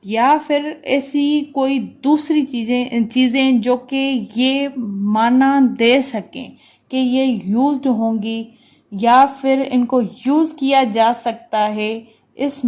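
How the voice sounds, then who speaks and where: A woman's voice: a little high, darkish tone. A woman lecturing on legal text, reading out each clause and then explaining it in a didactic way, often checking in. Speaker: law lecturer.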